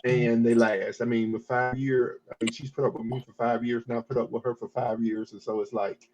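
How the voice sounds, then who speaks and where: A man's voice: medium pitch, flat delivery, fairly quick, a little mellow. A man in his fifties talking casually on a podcast, rambling with many restarts and repeated "I mean"s. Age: 50s. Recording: dull lo-fi audio.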